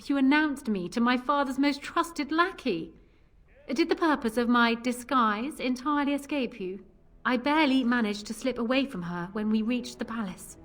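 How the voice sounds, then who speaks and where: A woman's voice: fairly high pitch, normal speed, natural voice. An actress playing a proud, shrewd young queen in a fantasy game, speaking formally and assertively. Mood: indignant.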